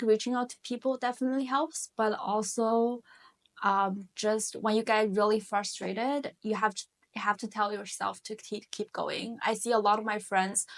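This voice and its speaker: Earnest young woman with filler words. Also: mid-range voice. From China. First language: Chinese. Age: 20s. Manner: earnest, many fillers and restarts. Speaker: guest. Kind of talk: casual interview conversation.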